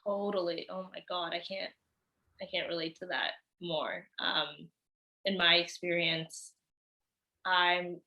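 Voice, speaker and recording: A woman's voice: lowish voice, fairly even intonation, slow, muffled recording. A young woman hosting a casual podcast interview, encouraging her guest with frequent "mm"s and "um"s. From born in England, raised in Canada. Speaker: podcast host.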